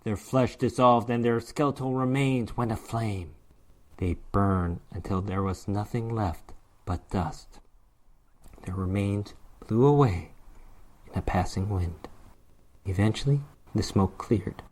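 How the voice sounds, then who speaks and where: A man's voice: lowish voice, slow, a little mellow. A man narrating a fantasy horror short story as a dramatic reading, with theatrical, emphatic delivery.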